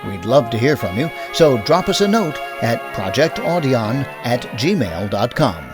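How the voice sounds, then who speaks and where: Lively male host over music. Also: medium pitch, measured pace. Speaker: show host, outro announcer. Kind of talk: scripted outro narration.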